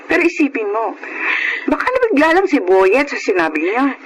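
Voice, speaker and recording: A woman's voice: high voice, very animated, moderate pace, crowd noise, poor audio. An actress playing a reasonable, soothing woman who coaxes and advises in a Filipino radio drama. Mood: consoling. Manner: coaxing, advising. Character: soothing, reasonable female confidante.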